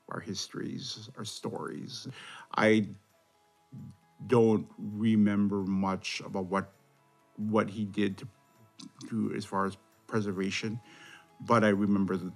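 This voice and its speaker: Male interview subject with a gravelly, lowish voice, reflective and halting. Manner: halting, thoughtful, with restarts. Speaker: interviewee, grandson of a veteran.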